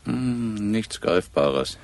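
Low, creaky, brooding police inspector. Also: male voice, expressive, very slow, darkish tone, exaggerated voice. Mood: dry, curious. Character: male provincial police inspector, brooding, sceptical, dry. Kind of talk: naturalistic German radio crime drama acting.

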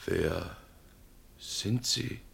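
A man acting a lonely sleeper woken at night, speaking calmly and distinctly with quiet fear in a radio play. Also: deep voice, gravelly, husky, heavily acted. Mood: wary, fearful. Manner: calm, slow to speak, distinct.

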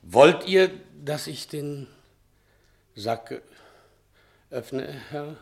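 A man's voice: fairly high pitch, animated, drawn-out words, a little mellow, exaggerated voice. An actor playing a frightened, shifty manservant in a German radio play, pleading and hesitant, stumbling over his excuses. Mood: stammering, stalling. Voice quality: stammering, hesitant. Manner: pleading, servile, theatrical. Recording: vintage 1960 radio studio recording.

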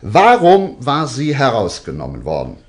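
A self-assured detective in a radio play. His husky voice swings in lively arcs as he teases his listeners, spinning out each revelation deliberately. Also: medium pitch. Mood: confident, probing. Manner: deliberate, teasing, suspense-building storytelling. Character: male detective, self-assured, theatrically revealing a case to suspects. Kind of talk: German radio drama acting.

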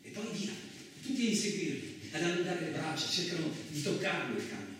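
A very reverberant, noisy recording, picked up from a distance, carries a seasoned truck driver. He tells his tale like theatre, rough and talkative, rambling along with colloquial asides and rhetorical questions. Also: a little high, sing-song, a little fast, a bit bright. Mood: vivid, wary. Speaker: actor performing a radio theatre monologue. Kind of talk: colloquial theatrical monologue, storytelling.

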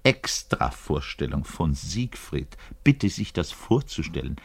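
A man playing a lonely, bitter, self-pitying middle-aged double bass player in a grandiloquent theatrical radio monologue. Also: sing-song, takes it slowly, gravelly, slightly rough, exaggerated voice. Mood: scornful, declaiming. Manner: grandiloquent, swinging between outbursts and muttering. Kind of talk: theatrical monologue addressing the listener.

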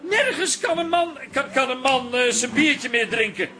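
An actor playing a fussy, easily flustered photographer in a radio comedy-drama, stammering theatrically. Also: male voice, very high-pitched, expressive, normal speed, over music. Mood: indignant. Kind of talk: naturalistic Dutch radio comedy-drama acting.

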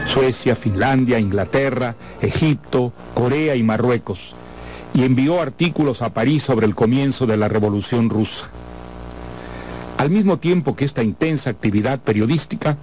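A man presenting a classic novel in a scripted introduction, speaking in a measured, erudite and formal manner. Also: mid-range voice, darkish tone. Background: background music.

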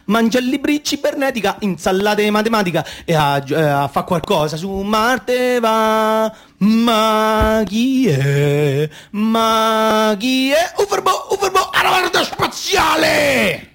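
Excitable Roman little boy, high-pitched. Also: takes it slowly, raised voice, soft background music, exaggerated voice. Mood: exuberant chanting. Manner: colloquial, stumbling, self-correcting. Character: football-mad little boy, naive, excitable, rambling. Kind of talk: comic radio theatre monologue.

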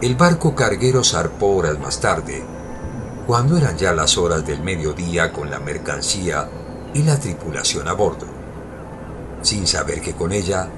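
A man with a low voice narrates an audio drama. He tells the story formally and in literary phrasing, with noticeable ambient noise behind him. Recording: clear background ambience noise.

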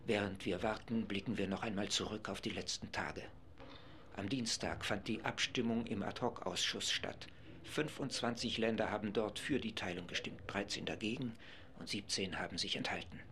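A man's voice: fairly low pitch, fairly even intonation, sharp tone. An actor playing a male radio reporter giving live commentary from a packed assembly hall in a radio play. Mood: measured. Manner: brisk, informative, narrating events.

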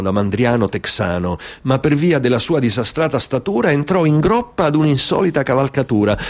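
Theatrical man reciting rhymed satire. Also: mid-range voice. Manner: emphatic, rhythmic, mocking storytelling. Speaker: author reading his own satirical ballad.

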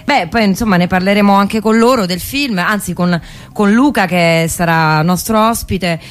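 A woman's voice: medium pitch, normal speed. A woman co-hosting a radio show in casual, enthusiastic, informal chat.

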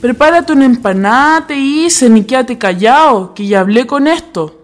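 A woman's voice: high voice, animated, moderate pace. A woman voicing a brusque tavern keeper in a radio drama. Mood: bossy.

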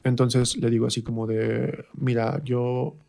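A man from Mexico with a Mexican accent, telling anecdotes reflectively as an interview guest and often pausing to search for words. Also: mid-range voice, level tone, vocal fry, warm tone. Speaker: interview guest, performer and drama teacher. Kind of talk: conversational anecdote in a radio interview.

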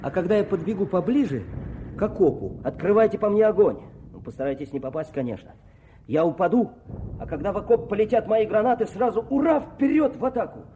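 An actor playing a daring young Russian officer in a radio drama, speaking with brisk, persuasive confidence. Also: male voice, high-pitched, lively intonation, mellow. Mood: brisk, commanding. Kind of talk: Soviet radio drama acting. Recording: noisy 1970s radio play with ambience.

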